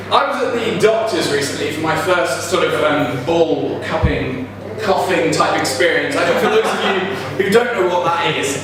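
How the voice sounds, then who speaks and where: Young male stand-up, deadpan, slightly high voice, captured from afar in a pub. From South East England. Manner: deadpan delivery, laughs at own jokes. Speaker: stand-up comedian. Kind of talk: stand-up comedy set. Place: pub comedy night. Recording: roomy pub sound from far off. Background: audience laughter.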